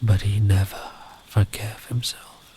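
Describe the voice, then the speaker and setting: Slow, soft-spoken man telling a bedtime story. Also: lowish voice, steady tone, slight background noise. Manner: soft-spoken, often breathy near-whisper; soothing, unhurried, tender. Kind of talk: gentle ASMR storytelling.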